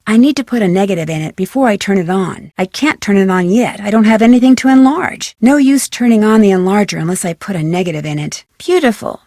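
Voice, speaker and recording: A young American woman playing a plucky, curious amateur detective in a video game, with crisp, expressive, self-narrating delivery. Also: medium pitch, fast, few pauses, no room sound, studio quality, close to an everyday voice. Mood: pleased, upbeat. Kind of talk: scripted video game character lines.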